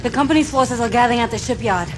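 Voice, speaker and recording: Actress as an urgent, high-voiced young rebel woman. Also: rapid-fire, background noise, lightly acted. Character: urgent, dutiful young female rebel, a daughter. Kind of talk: dramatic video game acting.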